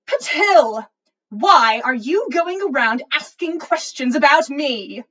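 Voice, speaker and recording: A woman voicing a furious, indignant student in a story reading, yelling with drawn-out, emphatic words. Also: sits high, expressive, voice pushed up, full character voice. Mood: angry.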